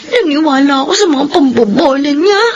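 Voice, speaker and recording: An actress playing a distraught, guilty young pregnant daughter in a Filipino radio drama, with a Filipino accent and a whining, tearful delivery. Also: female voice, sits high, lively intonation, fairly quick, dull audio. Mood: tearful, anxious. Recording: radio drama with music underneath.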